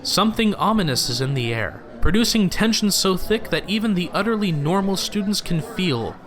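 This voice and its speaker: A man's voice: high-pitched, lively intonation, normal speed, a crowd in the background. A man playing a pompous narrator in an audio drama, delivering theatrical, ominous narration. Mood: grandiose. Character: pompous male storyteller relishing ominous drama.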